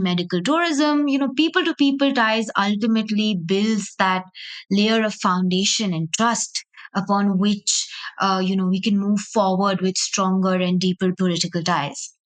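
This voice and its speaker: A woman hosting a conversational interview, agreeing and summing up as she leads into questions. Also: medium pitch. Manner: agreeable, summarizing before asking. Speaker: podcast host.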